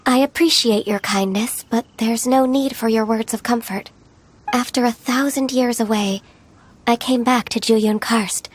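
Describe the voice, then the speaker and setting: A woman's voice: fairly high pitch, breathy edge. A woman voicing a shy, melancholy young half-immortal in a video game, polite and reserved in manner. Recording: faint music behind.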